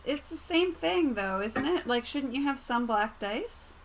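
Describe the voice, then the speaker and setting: A woman with a high, animated voice chats casually during a tabletop role-playing game. Her delivery is unhurried and swings widely in pitch. The recording carries clear background noise.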